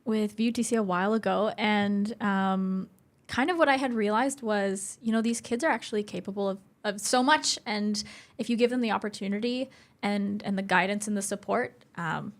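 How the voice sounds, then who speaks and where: A woman's voice: mid-range voice, moderate pace. Female interview guest, mid-range voice, conversational and explanatory. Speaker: guest, youth theatre instructor. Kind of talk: casual podcast interview.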